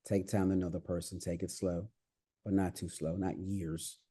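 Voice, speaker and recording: A man's voice: low-pitched, level tone, normal speed, warm tone, slightly rough. A man from the United States with no accent, answering interview questions in a reflective, advice-giving way with frequent restarts. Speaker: podcast guest.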